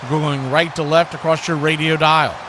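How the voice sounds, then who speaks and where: A man with a fairly high voice gives live radio play-by-play of a football game. He works as the announcer, describing the action as it happens. Clear background noise sits behind his voice. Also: fairly even intonation, normal speed.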